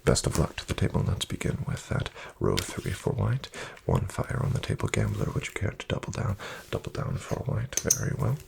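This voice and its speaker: Guy playing a polite casino dealer asmr, deep soft near-whisper, lilting up and down, composed and formal. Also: wildly swooping pitch, creaky, a little hiss or hum, full character voice. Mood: soft, courteous. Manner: formal, polite, measured phrasing. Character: courteous, composed male casino card dealer. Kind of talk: soft-spoken ASMR roleplay.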